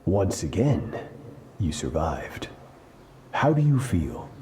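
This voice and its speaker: A man's voice: sits low, very animated, slow pace, dark tone, slight background noise, exaggerated voice. A male actor playing a cold, merciless sword master in a video game, grave and commanding. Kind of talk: dramatic scripted game acting.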